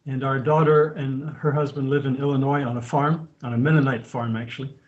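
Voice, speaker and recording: A man's voice: mid-range voice, brisk. Missionary man in his sixties, lo-fi. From France. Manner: steady, with frequent restarts and fillers. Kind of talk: interview answers. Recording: dull lo-fi sound.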